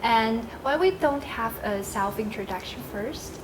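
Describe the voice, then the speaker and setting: A woman with a fairly high voice moderates a round-table discussion. She asks simple questions with slightly unidiomatic phrasing. Room noise is clearly heard behind her. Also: lively intonation, measured pace. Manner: brief backchannel replies, slightly unidiomatic phrasing. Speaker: host.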